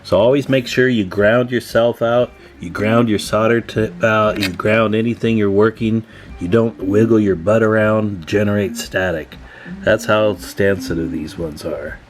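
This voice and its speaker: Low-pitched man giving a casual hands-on tutorial. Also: fairly even intonation, unhurried. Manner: folksy, joking, thinking aloud as he works. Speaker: hobbyist radio repair demonstrator, channel host.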